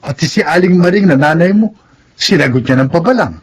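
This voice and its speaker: High-voiced concerned drinking buddy. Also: male voice, expressive, brisk, husky. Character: concerned drinking buddy, gently advising, gossipy. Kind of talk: Tagalog radio drama acting.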